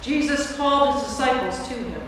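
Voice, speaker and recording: High-voiced woman narrating in an echoing church. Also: fairly quick, fairly bright tone. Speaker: narrator, priest. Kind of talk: scripted scripture narration. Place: large reverberant church. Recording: echoey church, distant mic, faint noise.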